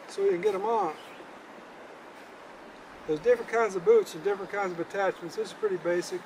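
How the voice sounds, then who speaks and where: An older man giving a casual how-to demonstration as a fishing guide, folksy and halting, often repeating words, recorded outdoors from a few metres away. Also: high voice. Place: outdoors at a roadside in the country, by a car. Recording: clear outdoor background noise.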